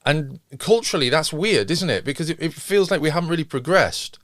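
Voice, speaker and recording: A man's voice: on the high side, expressive, fairly quick, a bit bright, dry recording, studio quality. A middle-aged man with a British accent co-hosting a podcast, talking casually and a little hesitantly, with questioning asides. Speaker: podcast co-host. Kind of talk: casual chat about television.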